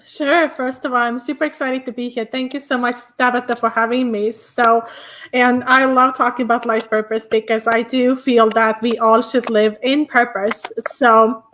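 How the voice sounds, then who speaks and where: A woman's voice: fairly high pitch, steady tone, moderate pace, fairly bright tone, slight background noise. A woman from Estonia, a business coach guest, talking casually with many fillers in a hotel room. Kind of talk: casual conversation.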